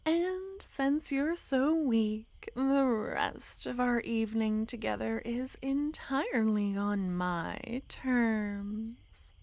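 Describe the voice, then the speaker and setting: Brief: a woman as a sweetly menacing young witch, breathy close whispers, very slow delivery. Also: fairly high pitch, a little mellow, no room sound. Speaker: ASMR roleplay performer. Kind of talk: ASMR roleplay.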